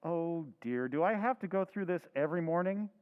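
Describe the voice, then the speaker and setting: A man's voice: a little high, expressive, brisk, clean tone, close to an everyday voice. A man playing a weary, evasive husband who answers tersely and impatiently in an old-time radio drama recreation. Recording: tinny phone-like sound.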